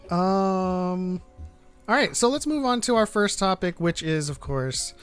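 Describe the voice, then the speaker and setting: Higher-voiced man hosting a casual live stream. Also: expressive, unhurried, smooth. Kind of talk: casual chat, reading out live chat. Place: online group live stream. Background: background music.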